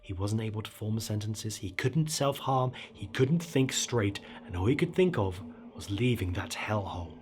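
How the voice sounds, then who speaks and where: A man's voice: fairly low pitch, lively intonation, moderate pace, few pauses. A man telling a scripted horror story in a theatrical, suspenseful way. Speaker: horror story narrator and channel host. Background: dark ambient music bed.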